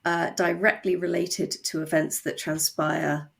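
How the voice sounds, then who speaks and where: A woman's voice: fairly low pitch, little pitch movement, a little slow, creaky. A woman talking casually as an interview guest on a podcast. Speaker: guest, writer and co-creator.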